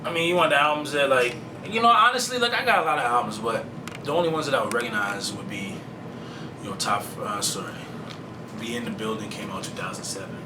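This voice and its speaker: A laid-back man who talks in loose, profane slang. He sounds set back from the microphone in an echoey room. A loud steady hum runs under his voice. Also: medium pitch, fast. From Canada. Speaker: rapper guest. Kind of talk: casual interview talk. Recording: roomy, distant mic with loud background hum.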